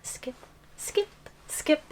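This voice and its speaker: A woman's voice: darkish tone, slight background noise. A woman playing a possessive giantess girlfriend in an audio roleplay, taunting in a playful, menacing sing-song. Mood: playful, hunting. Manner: sing-song taunting with giggles and drawn-out threats. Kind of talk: ASMR audio roleplay acting.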